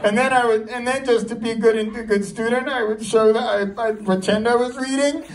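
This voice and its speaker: A man performing a stand-up comedy set, telling a story in an animated way and throwing rhetorical questions at the audience. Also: very high voice, brisk. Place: live comedy show before an audience. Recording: live room, distant mic, audience noise. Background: audience crowd and laughter.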